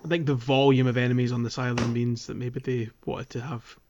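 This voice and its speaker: A man's voice: mid-range voice, little pitch movement, fairly quick, lo-fi sound, noisy background. A man co-hosting a podcast, casually talking through a video game in a loose, hedging, informal way. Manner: hedging, informal explaining with kind of and like. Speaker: podcast co-host.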